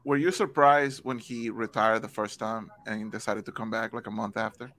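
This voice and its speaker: A man's voice: lowish voice, steady tone, moderate pace, fairly bright tone. A young man co-hosts a casual podcast through a headset. He sounds easygoing and laughs often, stumbling a little as he asks his questions. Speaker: podcast co-host.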